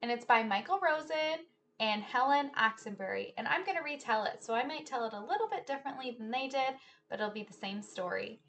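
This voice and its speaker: A woman's voice: fairly high pitch, lively intonation. A woman reading a children's story aloud like a teacher, theatrically, drawing out key words in a sing-along chant. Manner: theatrical, drawn-out key words, shifting between hushed whispers and loud exclamations. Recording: noticeable background noise.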